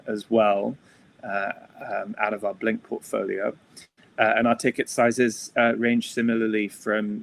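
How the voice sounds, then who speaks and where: A middle-aged man with a low, gravelly voice that stays level in pitch. He introduces himself haltingly, stumbling over filler sounds and restarting his sentences, with a faint hiss of noise behind him. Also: low-quality recording. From Switzerland. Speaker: venture capital investor. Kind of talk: panel self-introduction.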